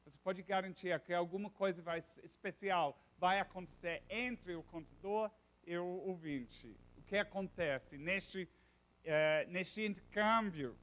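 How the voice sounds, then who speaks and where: Englishman lecturing in halting Portuguese. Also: high voice, fairly bright tone. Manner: halting, searches for words, many restarts. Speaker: university lecturer. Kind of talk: informal classroom lecture.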